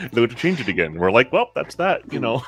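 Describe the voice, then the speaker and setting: A man's voice: mid-range voice, noisy background. An American man chatting on a livestream, telling stories with stammering restarts and frequent laughs. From United States. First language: American English. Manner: animated storyteller, stammering restarts, laughs often. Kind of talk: casual livestream chat.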